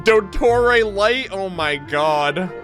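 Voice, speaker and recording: A man's voice: high voice, expressive, drawn-out words, music in the background. A man doing casual, slangy, incredulous game reaction commentary. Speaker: gaming streamer.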